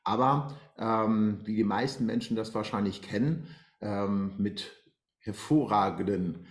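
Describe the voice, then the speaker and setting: A man's voice: on the low side, measured pace. A man in his forties from Iran, a guest telling his life story on a video call, talking hesitantly with many fillers. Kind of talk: personal life story in interview. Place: bright room at home, indoors. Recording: roomy echo.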